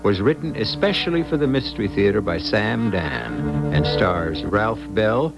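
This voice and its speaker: A man's voice: on the low side, sing-song, unhurried, creaky. A man hosting a 1970s radio mystery drama with wry, dramatic, scripted narration. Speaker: host and narrator. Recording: old radio broadcast with music behind.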